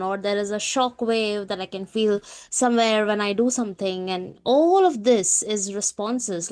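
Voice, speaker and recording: Woman podcast co-host, chatty spiritual explainer, medium pitch, keeps checking in with the listener. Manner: explanatory, often asking "right?" for agreement. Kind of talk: conversational spiritual talk.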